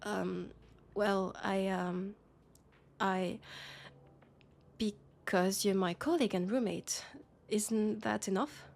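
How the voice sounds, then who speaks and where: A woman with a French accent playing a worried, exasperated female police colleague and roommate in an intimate sci-fi audio roleplay, speaking softly and hesitantly. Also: mid-range voice, steady tone, takes it slowly, vocal fry, pauses often. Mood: worried, flustered.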